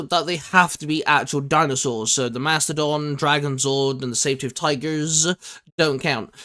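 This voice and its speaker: A man's voice: fairly high pitch, fairly bright tone. A young man hosting a channel, chatting casually and with enthusiasm like a keen fan. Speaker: channel host. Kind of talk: casual chat and video introduction.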